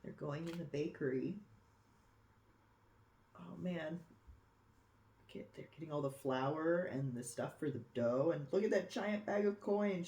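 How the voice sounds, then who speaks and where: A storyteller reading a picture book aloud expressively for children, with dramatic pauses and cooing asides. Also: mid-range voice, darkish tone. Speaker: storybook reader. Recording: echoey room.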